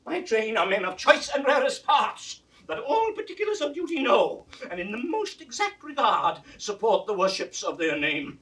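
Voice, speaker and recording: An actor playing a proud, raging old king in a classical 1960s Shakespeare audio drama, grand and declamatory, swinging from hushed to roaring. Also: very high voice, very animated, moderate pace, few pauses, slightly rough, recorded from a distance, heavily acted. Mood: furious, cursing. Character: aged king, proud, raging at his daughter.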